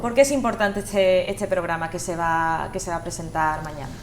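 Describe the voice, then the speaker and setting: A woman's voice: lowish voice, a little fast, a little hiss or hum. Woman interviewer in a roomy studio. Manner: hesitant questions with fillers.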